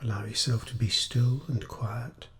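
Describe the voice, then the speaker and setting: A man leading a guided meditation as a teacher, speaking in a gentle, soothing, unhurried way with pauses. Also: medium pitch, steady tone.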